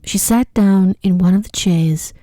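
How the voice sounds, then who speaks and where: A woman narrating a bedtime story in a soft, breathy, whispery ASMR voice, gently and soothingly. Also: medium pitch, dry recording. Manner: slow, gentle, soothing delivery.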